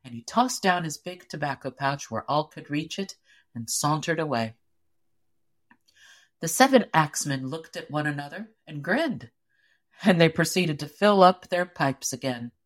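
A woman reading a story aloud as an audiobook narrator, in an expressive, measured storytelling manner. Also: low voice.

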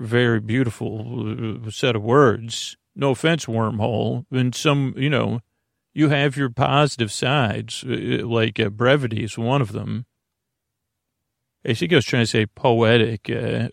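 Creaky-voiced man, rambling softly at bedtime. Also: mid-range voice, dry recording, clean studio sound. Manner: meandering, mumbling, stumbling, self-deprecating, with tangents and mixed-up words. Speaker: podcast host. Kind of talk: rambling, conversational bedtime sleep podcast monologue.

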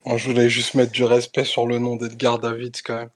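A man's voice: medium pitch, monotone, a little fast. A man co-hosting a podcast, speaking emphatically during casual chat. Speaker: podcast co-host.

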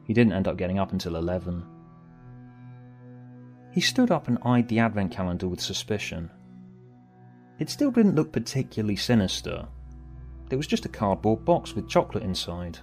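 A man's voice: fairly low pitch, sing-song, normal speed, pauses often, darkish tone. A man reading a scripted story aloud as its narrator, with music behind him. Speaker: author and narrator.

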